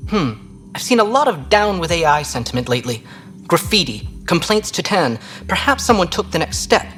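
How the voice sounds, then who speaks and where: A woman's voice: lowish voice, very animated, normal speed, a bit bright. An actress playing a plain-spoken woman crew member on a space station in a video game. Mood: thoughtful. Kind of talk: video game dialogue acting.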